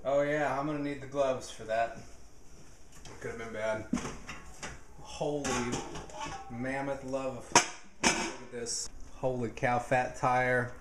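A man in his thirties hosting a jokey home cooking video in his kitchen, rambling with deadpan asides, recorded on a nearby camera mic. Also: mid-range voice, slow pace, pauses often, echoey room, heavy background noise. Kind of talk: jokey mock cooking show. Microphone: camera mic, handheld or nearby.

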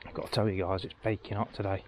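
A man's voice: on the low side, flat delivery, fast talker, noisy background. A man giving casual, informal vlog commentary, thinking aloud as he walks outdoors. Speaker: travel vlogger. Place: outdoors, walking around a village churchyard.

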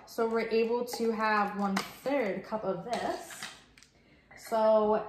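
Woman, 30s, chatty home-cooking vlogger, slightly high voice, roomy kitchen sound. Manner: chatty and upbeat, explaining as she works. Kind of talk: casual step-by-step cooking demo. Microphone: fixed camera at table distance. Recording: echoey kitchen with faint noise.

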